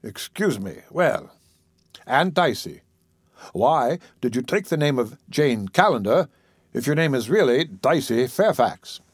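A man voicing a composed young Southern prosecuting attorney, questioning in formal, measured speech. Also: medium pitch, big pitch swings, husky, no room sound, studio quality, heavily acted.

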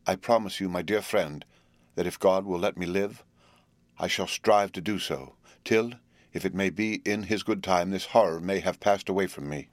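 A man voicing a brave, sorrowful young woman who speaks quietly, earnestly and with resolve. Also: low voice, moderate pace, no room sound, lightly acted.

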